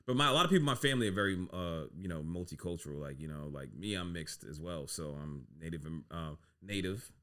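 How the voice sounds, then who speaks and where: Male podcast guest, deep register, easygoing anecdotal delivery. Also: fast talker, few pauses, clear, resonant tone. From United States. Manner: relaxed, filler-heavy, says "you know what I'm saying" often. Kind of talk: casual storytelling interview.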